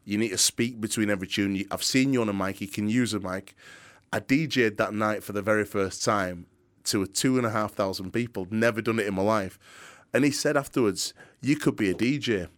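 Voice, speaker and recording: A middle-aged man with a softened Yorkshire accent, telling earnest stories of his years as a DJ and presenter in an interview. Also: on the low side, a little fast. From Yorkshire, England. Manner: energetic, earnest, some restarts. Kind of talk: interview answers, storytelling. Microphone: studio microphone.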